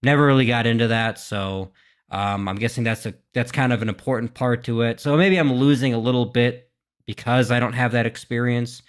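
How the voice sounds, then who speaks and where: Male gaming host, mid-range pitch, casual off-the-cuff reaction talk, hesitant and thinking aloud. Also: fairly even intonation, a little fast, clean tone. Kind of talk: casual unscripted reaction commentary.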